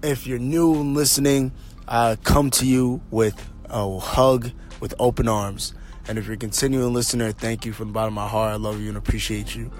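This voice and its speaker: A man with a slightly hoarse voice hosting a motivational podcast, speaking off the cuff in a halting, rambling, informal way straight to his listeners. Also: medium pitch, fairly even intonation, faint music behind. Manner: halting, rambling, thinking aloud; informal, addresses listeners directly. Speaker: podcast host. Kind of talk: motivational monologue, casual and unscripted.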